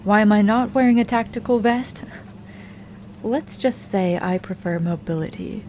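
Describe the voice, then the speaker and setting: A woman voicing a hardened female vampire hunter who bosses a rookie around in an audio roleplay, speaking in a low, commanding, teasing way that often sinks to a hush. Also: normal speed, background noise, close to an everyday voice. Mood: cool briefing. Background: faint ambient soundscape.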